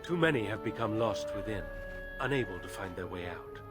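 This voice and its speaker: An actor playing a grave elven storyteller in a video game, speaking in measured, formal phrases with solemn weight. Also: male voice, fairly low pitch, expressive, over loud music, close to an everyday voice. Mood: solemn, ominous. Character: grave elven storyteller warning of a cursed forest. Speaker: lore-telling game character. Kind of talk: dramatic video game dialogue.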